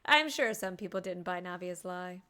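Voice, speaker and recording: A woman's voice: fairly low pitch, lively intonation, moderate pace, pushed and bright, smooth. A woman streaming a game, giving casual live commentary with playful, wry asides.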